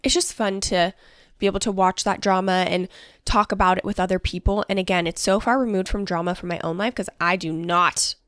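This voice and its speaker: A woman's voice: medium pitch, steady tone, a little fast, a bit bright, dry recording, clean studio sound. A young woman hosting a casual solo podcast, chatty and upbeat, talking with a stuffy nose from allergies. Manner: bubbly, chatty, fillers like "like" and "honestly". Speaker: podcast host.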